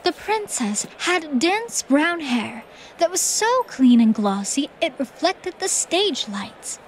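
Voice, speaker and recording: A woman reading scripted story narration for a theatrical audiobook in an expressive, measured manner. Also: high-pitched, a little hiss or hum, poor audio.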